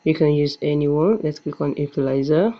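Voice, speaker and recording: A man's voice: on the high side, moderate pace. A man presenting a step-by-step app tutorial, often repeating and restarting his phrases on a muffled recording. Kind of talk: step-by-step screen tutorial narration.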